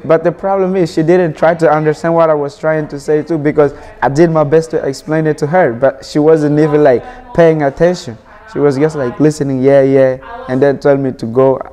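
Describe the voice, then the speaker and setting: A man's voice: on the high side, fairly even intonation, a little fast, mellow. A teenage man from Burkina Faso, an immigrant student, answering interview questions earnestly, often restarting his sentences.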